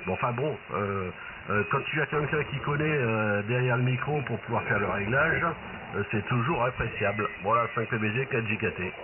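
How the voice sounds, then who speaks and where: Man from France, deep voice, chatty radio test, narrow lo-fi transmission, heavy noise. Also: normal speed. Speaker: amateur radio operator testing his microphone.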